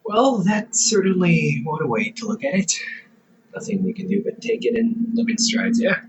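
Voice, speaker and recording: A man's voice: high voice, expressive, normal speed, recorded from a distance, highs cut off, noisy background. A man playing a goofy caring older brother. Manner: playful, chatty, laughs often, dramatic bursts. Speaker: audio roleplay performer. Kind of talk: audio roleplay to the listener.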